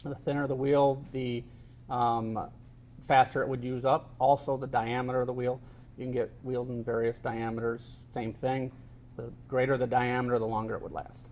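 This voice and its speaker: A middle-aged man giving expert testimony in court, answering in a measured, technical way with frequent hesitations and a formal, polite manner. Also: medium pitch, little pitch movement, a little mellow. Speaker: expert witness.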